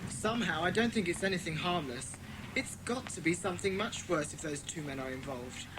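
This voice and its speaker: Woman acting a lively, bossy boy leader, noisy backdrop. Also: lowish voice, a little fast, close to an everyday voice. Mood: earnest, deducing. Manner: decisive, instructing. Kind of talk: dramatised children's audiobook acting.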